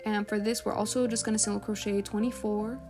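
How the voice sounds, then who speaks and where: A woman's voice: mid-range voice, level tone, fast. A woman narrating a step-by-step craft tutorial, often counting aloud, as its host. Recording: soft music bed under voice. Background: background music.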